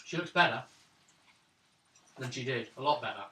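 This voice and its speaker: A man's voice: mid-range voice, lively intonation, normal speed. A man improvising narration and dialogue as a tabletop game master. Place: room around a gaming table. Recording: roomy, distant mic, faint noise.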